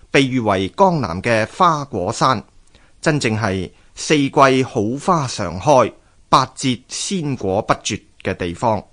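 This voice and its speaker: A man with a Hong Kong Cantonese accent narrates scripted legends and history as a radio storyteller, in an expressive, measured way. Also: medium pitch.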